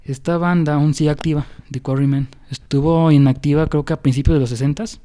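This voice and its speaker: A man's voice: a little high, steady tone, brisk, dark tone. A young man with a Mexican accent, hosting a casual podcast chat about music history and talking in a rambling way with many fillers and restarts. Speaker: podcast host.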